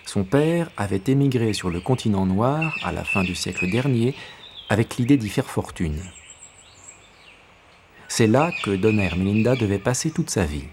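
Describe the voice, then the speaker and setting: Low-voiced man narrating a memoir. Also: expressive. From South Africa. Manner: measured, reflective storytelling. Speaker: documentary narrator. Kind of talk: scripted personal narration. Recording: voice-over with clear background noise.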